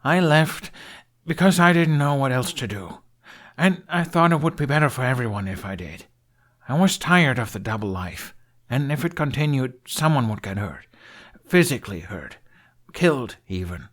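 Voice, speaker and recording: A sarcastic young Viking man performed by a male storyteller talks in a raised, animated voice. He is defiant and quick to snap, in audiobook dialogue. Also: mid-range voice, pitched above the performer's own voice. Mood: subdued, honest. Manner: sneering, snappy, disdainful.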